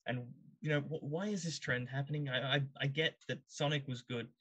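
Male podcast host, mid-range pitch, opinionated panel talk with pointed rhetorical questions. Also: steady tone, brisk, a bit bright, breathy edge.

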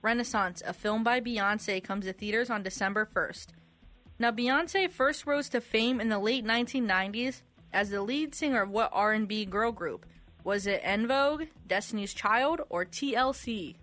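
A woman's voice: a little high, normal speed, fairly bright tone, faint music behind. A woman co-hosting a radio quiz, reading trivia questions in a conversational way.

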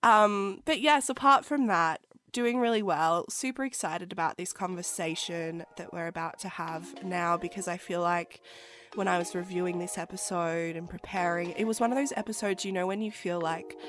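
A woman's voice: fairly low pitch, normal speed. A woman co-hosting a podcast in casual, chatty, self-mocking talk, laughing often and nursing a cold. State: has a cold. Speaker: podcast co-host. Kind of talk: casual chat.

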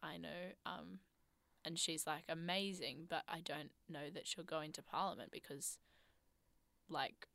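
A woman's voice: sits low, steady tone, a little slow, creaky, bright, forceful tone, dry recording. A young woman co-hosting a podcast in casual chat, thoughtful and hedging, with a lingering cough. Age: young adult. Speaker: podcast co-host.

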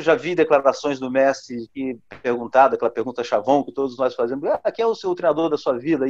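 A man discussing football in casual talk, thoughtful, often pausing on fillers. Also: mid-range voice, darkish tone, muffled recording. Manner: thoughtful, with hesitations. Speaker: football commentator. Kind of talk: podcast discussion.

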